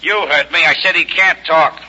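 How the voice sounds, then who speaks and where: An actor playing an irritable, sardonic police lieutenant over a telephone filter in a 1950s American radio drama, with an American accent. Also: male voice, a little high, fairly even intonation, normal speed, pushed and bright. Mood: impatient, blunt. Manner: sardonic, impatient, emphatic. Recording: thin, dull vintage radio recording.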